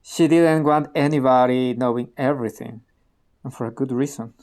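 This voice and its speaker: A man playing a candid private security insider in a radio play, explaining events in a measured, matter-of-fact way. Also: mid-range voice, fairly even intonation, a little mellow, close to an everyday voice.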